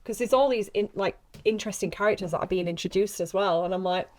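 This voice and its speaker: A woman's voice: mid-range voice, fast, a little mellow. A woman chatting casually and informally as an actress on a podcast. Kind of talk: casual chat.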